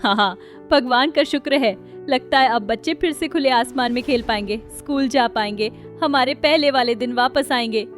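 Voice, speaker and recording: A woman's voice: sits high, normal speed, soft background music, close to an everyday voice. A woman voicing a defiant village mother. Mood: earnest.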